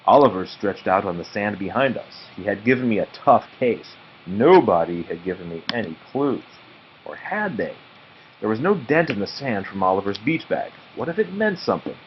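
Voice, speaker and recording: A man narrating a children's story aloud expressively and deliberately, with dramatic pauses. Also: fairly low pitch, sing-song. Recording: muffled audio with faint background noise.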